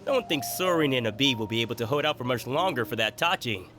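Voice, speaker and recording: A man's voice: medium pitch, fast talker, close to an everyday voice. A man playing a jovial, generous food merchant who teases in a hearty way in a video game. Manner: hearty, teasing, expressive.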